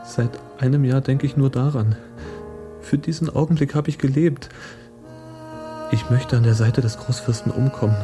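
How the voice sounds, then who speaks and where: An actor playing an idealistic, passionate young revolutionary in a German radio play, speaking in an earnest, declamatory manner. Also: male voice, fairly high pitch, natural voice. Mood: fervent, solemn.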